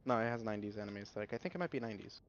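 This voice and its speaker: A man's voice: on the low side, animated, very fast. Laid-back twenty-year-old guy, lo-fi mic. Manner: laid-back, self-mocking, uses slang. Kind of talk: casual gaming stream chat. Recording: dull lo-fi mic.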